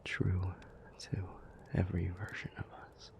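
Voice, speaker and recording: A man playing a weary, anguished demon in an intimate audio roleplay, pleading haltingly in a breathy near-whisper. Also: low-pitched, completely flat delivery. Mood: weary, resigned. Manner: halting, slow, hushed delivery with long pauses.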